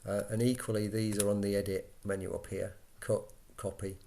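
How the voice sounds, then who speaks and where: A man giving an informal software walkthrough, explaining step by step in a conversational way as an instructor. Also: on the low side, little pitch movement, moderate pace, gravelly, a little hiss or hum.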